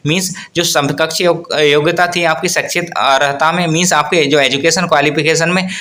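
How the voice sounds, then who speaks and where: A man presents an informal explainer update. His voice sits a little high and has a sharp, bright edge. He talks in an explanatory way, often rewording what he has just said. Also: fairly even intonation, normal speed. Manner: explanatory, often rephrases and repeats points. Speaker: host of an exam news channel. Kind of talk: informal explainer update on government exam news.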